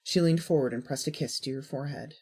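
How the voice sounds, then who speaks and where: A woman with a husky voice reading scripted fiction as a narrator. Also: low-pitched, fast, darkish tone.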